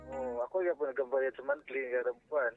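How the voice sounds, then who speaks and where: A man with a Filipino accent calling in to a radio show, confiding casually in a halting, repetitive, deferential way. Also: medium pitch, fairly even intonation. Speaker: phone-in caller. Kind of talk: casual personal confession on radio. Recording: thin phone line, music underneath.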